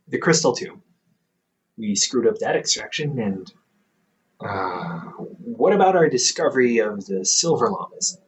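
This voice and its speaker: Man acting as slow-talking, teasing, reassuring older brother. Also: mid-range voice, expressive, lightly acted, poor audio. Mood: reassuring, reflective. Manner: casual, teasing, gently reassuring, halting. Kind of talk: intimate audio roleplay, one-sided conversation. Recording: hobby microphone with faint background noise.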